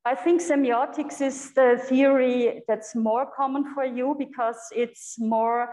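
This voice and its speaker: A woman with a German accent, a native German speaker, giving an unscripted guest lecture to students, halting and searching for words with many fillers. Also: sits high, fairly even intonation, a little mellow. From Europe. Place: echoey lecture room. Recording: echoey hall.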